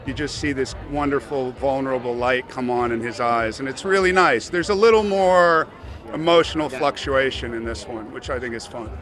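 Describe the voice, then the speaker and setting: A man's voice: mid-range voice, normal speed, a bit bright. A man enthusiastically describing his work in a casual press interview, swearing freely. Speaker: actor being interviewed.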